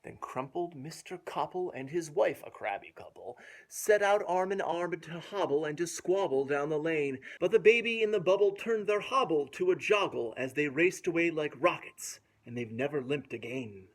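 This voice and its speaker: A man reading a rhyming picture book aloud to young children in a playful, expressive way with dramatic emphasis. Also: fairly high pitch, moderate pace, few pauses. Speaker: children's storyteller.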